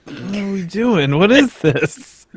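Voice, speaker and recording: A man's voice: high-pitched, lively intonation, slow, a crowd in the background. A man chatting casually and telling anecdotes on a video call.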